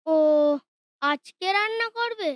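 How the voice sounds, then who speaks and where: A woman's voice: extremely high pitch, expressive, slow pace, pushed and bright, no echo, studio quality, heavily acted. A woman voicing a sweet, secretive young boy in a cartoon who talks hesitantly. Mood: childlike. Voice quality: childlike, hesitant.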